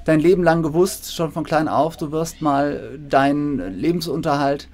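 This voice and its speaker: A woman hosting a conversational interview over a video call, phrasing her question haltingly. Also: low voice, a little mellow. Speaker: interview host. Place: indoor room, video call.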